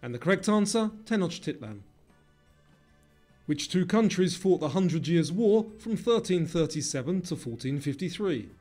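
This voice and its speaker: A man delivering scripted quiz narration, reading out questions and answers with clear enunciation. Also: high voice, lively intonation, moderate pace, a little mellow. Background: music.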